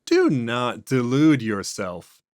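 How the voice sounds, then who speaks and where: A man voicing a cold otherworldly entity that speaks slowly and with detached, imperious disdain. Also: medium pitch, huge pitch swings, no room sound, clean studio sound.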